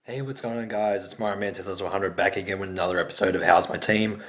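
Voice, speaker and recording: Hoarse, raspy man, low voice. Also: fairly even intonation, fast, fairly bright tone, soft background music. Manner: rambling, many hesitant fillers. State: hoarse, losing his voice from a sore throat. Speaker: sports show host. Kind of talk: casual sports vlog talk.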